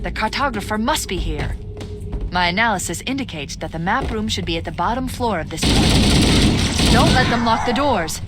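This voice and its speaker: A woman's voice: medium pitch, lively intonation, moderate pace, close to an everyday voice. A woman playing an analytical AI guide in a video game, speaking in precise, articulate tactical briefings. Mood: focused, analytical. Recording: loud music behind the voice.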